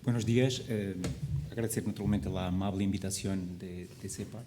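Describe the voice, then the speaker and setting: A Portuguese man speaking Spanish with a Portuguese accent as a panelist, halting with many restarts. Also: low-pitched, fairly even intonation, warm tone, slight background noise. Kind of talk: panel discussion.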